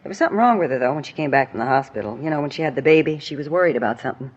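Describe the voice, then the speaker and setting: A woman's voice: low voice, lively intonation, racing, breathy edge. A woman playing a grumbling, gossipy apartment manager, peevish and dismissive, in an old radio drama. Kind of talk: 1950s radio drama acting. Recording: dull old radio recording.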